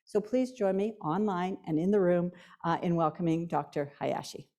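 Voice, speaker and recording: Woman, event host, low voice, mellow tone, measured formal intro. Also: a little hiss or hum. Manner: measured, reads the speaker's credentials.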